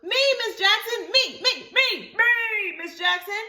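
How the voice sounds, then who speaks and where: A woman's voice: very high-pitched, expressive, slow, bright, forceful tone, reverberant, pitched above the performer's own voice, exaggerated voice. A woman voicing an eager, blunt little country girl in a storybook, chirpy and emphatic with folksy grammar. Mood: eager, smug.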